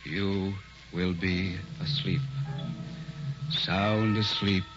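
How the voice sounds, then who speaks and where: A man's voice: sits low, level tone, extremely slow. Sinister showman hypnotist, theatrical radio-drama delivery, music bed behind. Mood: menacing hypnotic. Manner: theatrical barker, menacing. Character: sinister carnival hypnotist professor, smooth showman. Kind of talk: old-time radio drama acting. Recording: dull old radio recording with music.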